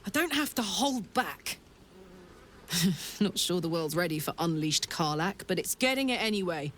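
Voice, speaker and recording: A woman's voice: mid-range voice, close to an everyday voice. Actress as exuberant tender-hearted female soldier, blunt, earnest, video game dialogue, noisy ambient backdrop.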